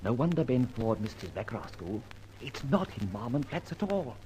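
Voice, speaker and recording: Actor playing a flippant gentleman adventurer, wildly swooping delivery. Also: mid-range voice, big pitch swings, moderate pace, lightly acted. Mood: alert, hushed. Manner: theatrical, wry, wordy and playful. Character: flippant, dashing gentleman adventurer, the Grey Goose. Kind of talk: old-time radio detective acting and narration. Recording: noisy old radio drama recording.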